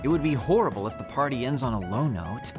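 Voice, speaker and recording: Excitable young male soldier, actor performing, anime game dub, dramatic, very animated pitch, music underneath. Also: fast, close to an everyday voice. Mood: fretful, eager. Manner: expressive, dramatic.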